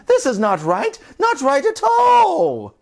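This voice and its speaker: A woman voicing three excitable knitting sisters from a storybook, exclaiming and wistful. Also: high voice, slow, creaky, voice pushed up, heavily acted. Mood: dismayed.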